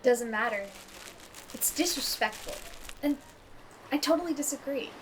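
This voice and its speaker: A high-voiced actress as an earnest, loyal woman in an audio drama about a self-help group. She speaks assertively, a bit preachy, with natural delivery. Clear ambient noise sits behind her. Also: normal speed, close to an everyday voice, rough recording. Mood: indignant, insistent. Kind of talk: naturalistic audio fiction acting.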